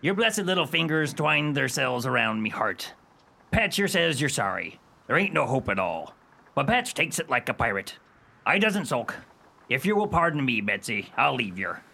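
Man acting a gravelly, shabby lovesick pirate. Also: mid-range voice, sing-song, normal speed, bright, forceful tone, heavily acted. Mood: lovesick, humble. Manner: rustic ungrammatical pirate speech. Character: shabby lovesick one-eyed pirate, humble, dim. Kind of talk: stage pirate radio comedy acting.

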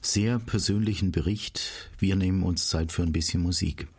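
Male radio host for studio interview; lowish voice with a clear fry. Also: normal speed, a little mellow.

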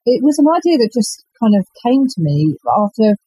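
A woman, an author chatting in an interview in a halting, self-effacing way. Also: a little high, big pitch swings, warm tone, husky, poor audio. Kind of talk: casual interview chat.

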